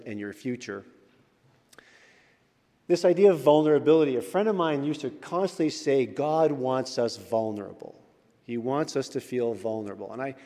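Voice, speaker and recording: A man's voice: on the high side, mellow. A man, a church elder, giving an informal sermon with stories in a chatty way with frequent fillers. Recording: reverberant church hall.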